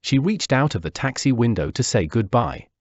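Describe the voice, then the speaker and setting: A man's voice: mid-range voice, very animated, moderate pace, no room sound. A man, reading a wistful first-person memoir. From Peru. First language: Spanish. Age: 40s. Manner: wistful, reflective. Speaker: narrator. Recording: dull dry lo-fi recording.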